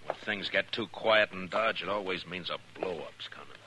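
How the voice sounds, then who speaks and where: An American actor playing a brooding, dryly humorous, weary frontier marshal in a 1950s radio western. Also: male voice, low voice, normal speed, close to an everyday voice. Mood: brooding, uneasy. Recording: noisy old radio recording.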